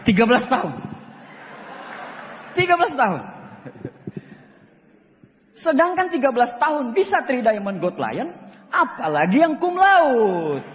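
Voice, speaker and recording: A man's voice: very high voice, very animated, a little slow, long pauses, a little hiss or hum. A man in his forties from Central Sulawesi giving a joking, boastful motivational talk to an audience in a hall. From Central Sulawesi, Indonesia. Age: 40s. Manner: joking, boastful, emphatic, with rising pushes. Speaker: motivational speaker. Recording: echoey hall, dull lo-fi sound.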